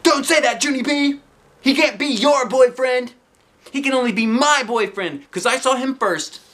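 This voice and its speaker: A man doing a shrill, bossy little schoolgirl. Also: moderate pace, fairly bright tone. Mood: indignant. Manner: shrill, indignant.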